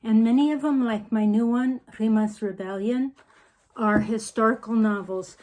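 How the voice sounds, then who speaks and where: An older woman author speaking in an unhurried, storytelling manner in a casual video message. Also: on the high side, fairly even intonation, a little mellow, low-quality recording.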